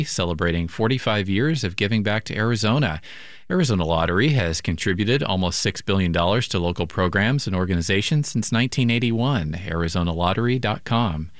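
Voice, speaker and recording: A lowish male voice, friendly and conversational, hosting a classical station pledge drive. The sound is close and dry. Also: no echo. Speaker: radio host. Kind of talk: public radio pledge drive. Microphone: studio microphone.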